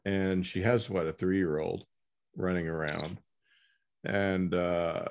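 A man hosting a casual interview, talking in a halting, informal way. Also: deep voice, fairly even intonation, a little mellow. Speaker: podcast host interviewing an author.

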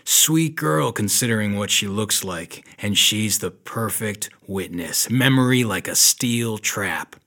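An actor playing a tough, weary but kind 1930s private detective in a noir audio drama, speaking dryly and deliberately. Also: male voice, lowish voice, animated, bright, forceful tone, full character voice. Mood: grim, controlled.

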